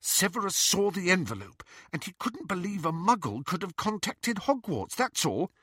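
Audiobook part: tender, proud little girl, man performing, pleading and hurt, lifted pitch, dry studio sound. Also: very animated, normal speed, pushed and bright, husky, no echo, heavily acted. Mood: defensive. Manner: pleading, earnest, wounded. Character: earnest, sensitive young girl, tender but proud. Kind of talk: audiobook character reading.

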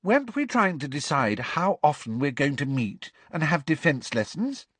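A man doing a calm teenage girl, practical, husky voice. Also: a little high, moderate pace, no echo, voice pushed up.

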